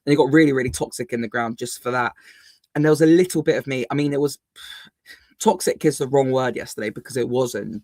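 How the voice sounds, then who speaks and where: A man co-hosting a football fan channel, his voice a little croaky, talking casually with many ums and restarts. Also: medium pitch, dry recording. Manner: chatty, lots of ums and restarts. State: slightly croaky voice. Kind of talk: casual podcast chat.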